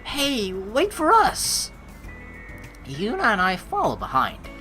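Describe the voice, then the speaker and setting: Animated, bright-voiced woman playing a game. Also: mid-range voice, slow. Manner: expressive, exclaiming, reacts out loud. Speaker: let's play streamer. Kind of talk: game commentary mixed with reading the narration aloud. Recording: faint game music behind the voice.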